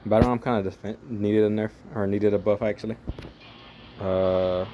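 Deep-voiced young man, casual game commentary. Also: fairly even intonation, moderate pace, background noise. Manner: rambling, profane, jokey play-by-play. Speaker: gaming channel host.

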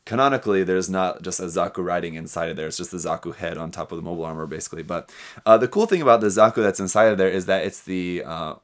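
A man's voice: sits low, fast talker. A young man hosting a hobby news show, running through items casually with his own commentary. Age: young adult. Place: small indoor hobby workshop room. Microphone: desk microphone with pop filter, close.

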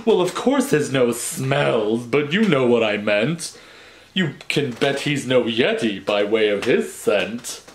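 A man voicing an indignant, offended yeti from a picture book in a huffy, deliberate rhyming delivery. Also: mid-range voice, big pitch swings. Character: indignant, offended female yeti defending itself. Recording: roomy home recording with background noise.

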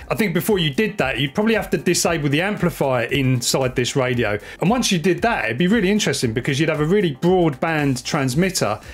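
A man talks through tech like a video blogger. His voice sits a little high for a man and has a husky rasp. The delivery is informal and explanatory. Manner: informal, chatty, hands-on explaining. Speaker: amateur radio YouTuber. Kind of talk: casual explanatory tech vlog.

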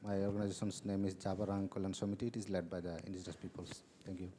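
A man from Bangladesh, introducing himself on a panel in a halting way with fillers. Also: deep voice, flat delivery, dark tone, slight background noise. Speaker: panelist, rights activist.

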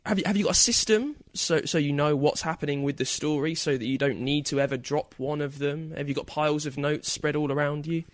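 A man's voice: fairly high pitch, fairly quick, hardly any pauses, no echo. A man asking conversational questions as a podcast interviewer.

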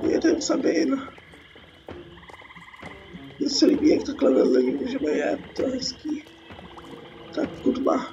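A very high-pitched young-sounding Czech woman with a cold and a gravelly creak comments casually on a game she is playing. She mutters to herself and swears informally. The recording is dull and lo-fi, with music behind her. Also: big pitch swings, long pauses, background noise. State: sick with a cold. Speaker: gaming YouTuber. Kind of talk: casual let's play commentary.

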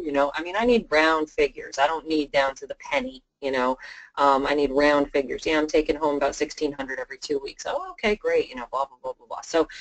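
An insurance sales trainer gives an informal talk to colleagues on a conference call. The delivery is chatty and conversational, often playing out what would be said to clients. The voice sits at a middling pitch. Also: rough recording. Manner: informal, chatty, role-plays client dialogue.

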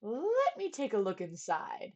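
A woman's voice: mid-range voice, wildly swooping pitch, a little slow, a little mellow, heavily acted. A woman voicing a flustered old man, a bell ringer who mutters and gasps in surprise. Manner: startled, fussing mutter.

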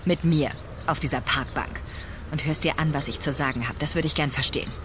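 Actress as a self-assured recruiter, outdoor noise behind. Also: female voice, sits low, a little fast, lightly acted. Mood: persuasive, challenging. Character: persuasive, self-assured female agency recruiter. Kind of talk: German radio crime drama acting. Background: outdoor park ambience.

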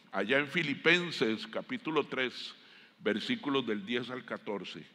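A middle-aged Costa Rican man preaching a sermon, deliberate and emphatic, pausing often between phrases. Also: medium pitch, sharp tone. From Costa Rica. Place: large indoor church auditorium stage. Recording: long hall reverb from stage sound system.

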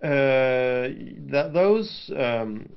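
A young man co-hosts a casual chat about games, hesitating often and filling the gaps with ums and uhs. His voice sits at a middling pitch. The sound is dull and lo-fi, like headset audio on a webcam call. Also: expressive, very slow, clear, resonant tone. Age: young adult. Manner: hesitant, many fillers. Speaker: co-host. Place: home room. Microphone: headset mic.

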